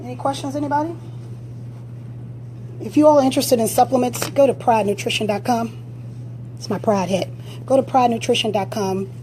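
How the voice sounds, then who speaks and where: A woman fitness coach with a raspy voice, giving blunt, direct motivational advice during a live-stream Q&A on a phone. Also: fairly high pitch, steady tone, brisk, pauses often, warm tone, a little hiss or hum, rough recording.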